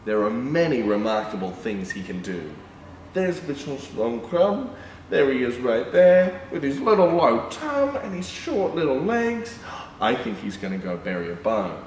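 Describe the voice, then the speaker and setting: A young man reading a picture book aloud to children as a storytime presenter, in an animated, exclaiming manner. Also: mid-range voice. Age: young adult. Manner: animated, exclaiming, with excited gasps and asides. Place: indoor room with painted backdrop. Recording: roomy, distant mic, background noise.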